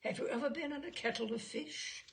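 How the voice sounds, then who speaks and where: A woman's voice: sits high, a little fast, vocal fry, voice pushed up. A woman reader playing a formal, courteous, methodical examiner who questions a witness in a comic story. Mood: brisk, probing.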